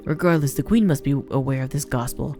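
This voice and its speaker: Gal doing a serious old professor guy for a game, deep mellow voice, formal n measured. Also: faint music behind. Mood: grave. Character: grave, scholarly old male professor in a fantasy game.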